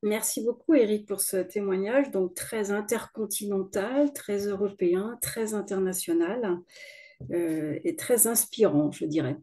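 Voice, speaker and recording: A woman moderating a webinar on a video call, thanking speakers and handing over briskly and courteously. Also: mid-range voice, mellow.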